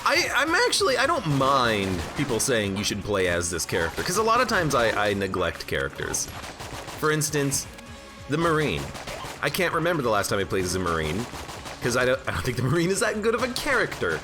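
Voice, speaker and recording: A man's voice: medium pitch, wildly swooping pitch, a little fast. A man casually commenting on a video game and chatting with viewers, stuffed up from allergies and rambling with jokes at his own expense, game music and gunfire playing behind him. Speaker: game streamer. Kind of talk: casual let's-play commentary. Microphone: own desk microphone.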